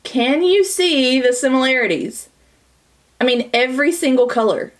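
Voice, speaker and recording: A woman's voice: high-pitched, animated, normal speed. Chatty woman with a scratchy cold voice. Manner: chatty, bubbly, confiding. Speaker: beauty vlogger. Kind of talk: casual makeup tutorial. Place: small home studio, indoors.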